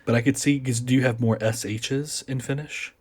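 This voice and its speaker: An American man, a guest on a podcast, chatting casually and explaining things in a measured way. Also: mid-range voice, steady tone, darkish tone, slightly rough. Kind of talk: casual chat.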